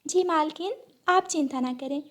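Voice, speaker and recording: Woman as a meek high-voiced mother bird. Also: normal speed, close to an everyday voice. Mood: meek, apologetic. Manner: meek, pleading, tender. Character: poor, humble, hardworking mother sparrow.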